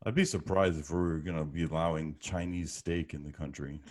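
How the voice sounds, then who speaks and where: A man's voice: rumbling low, steady tone, fairly quick, noisy background. A man chatting casually in a dry, matter-of-fact way. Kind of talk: casual chat.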